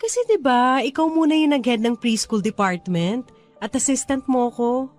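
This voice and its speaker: A woman's voice: a little high, moderate pace, darkish tone, soft background music, lightly acted. A woman playing a humble, grateful teacher in a radio drama, earnest and deferential toward her mentor. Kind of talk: radio drama dialogue in Taglish.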